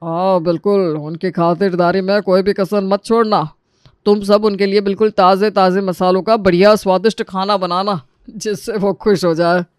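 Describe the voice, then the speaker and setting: A man's voice: sits high, level tone, normal speed, smooth, dry recording, studio quality, close to an everyday voice. A man voicing a middle-aged father-in-law in a storybook tale, scheming, urging and ingratiating.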